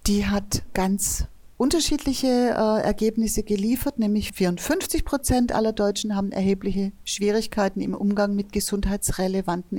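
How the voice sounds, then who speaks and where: A woman's voice: medium pitch, dark tone. A woman giving thorough interview answers about health literacy, explaining with everyday examples.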